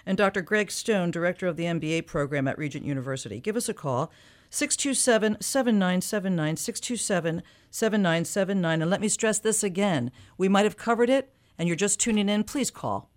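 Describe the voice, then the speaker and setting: A woman's voice: on the low side, animated, fairly quick. A woman hosting a radio talk show in the studio, chatty and enthusiastic, often jumping in with questions.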